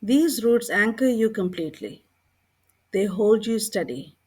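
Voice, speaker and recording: A woman narrating a scripted guided meditation in a calm, gentle way, with unhurried short phrases and pauses between them. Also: mid-range voice, breathy edge.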